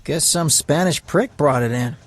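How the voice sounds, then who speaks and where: An actor playing a brash, streetwise young drifter in an audio drama, blunt and needling. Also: male voice, fairly high pitch, moderate pace, slightly rough. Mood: sympathetic.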